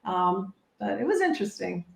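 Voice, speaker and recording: A middle-aged woman from Canada, raised speaking German too, talking casually as an interview guest, lively and laughing easily. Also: normal speed, gravelly. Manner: lively, laughs often, rising and falling pitch. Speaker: guest, immigration lawyer. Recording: echoey room.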